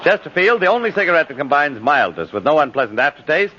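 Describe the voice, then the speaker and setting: A man's voice: fairly high pitch, very animated, quick pace, pushed and bright, a little hiss or hum. An American man doing polished, formal 1950s radio announcing. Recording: muffled vintage radio recording.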